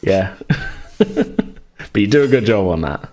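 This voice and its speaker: A man's voice: on the low side, animated, slow, a little mellow, a little hiss or hum. A man acting as game master, improvising tabletop game narration in informal, hesitant phrasing.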